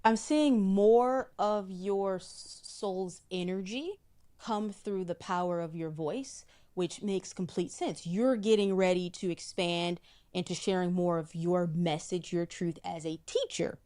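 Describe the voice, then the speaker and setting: A middle-aged woman, a psychic guest in an interview, explaining in a measured way and often repeating a word while she finds the next. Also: on the low side, dark tone. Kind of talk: spiritual interview talk.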